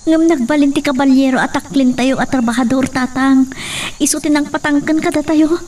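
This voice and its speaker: High-voiced young woman in love played by an actress. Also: steady tone, brisk, darkish tone, distant mic, lightly acted. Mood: pleading, worried. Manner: emotional, pleading. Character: devoted, lovestruck young woman, a farm worker's daughter. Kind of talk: radio drama acting. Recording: off-mic, noisy track with cricket-like chirping.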